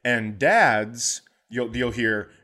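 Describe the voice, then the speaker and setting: A man hosting a podcast, telling casual comic stories in a profane, animated way. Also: medium pitch, very slow. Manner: profane, animated anecdotes with acted-out quotes. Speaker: podcast host, comedian.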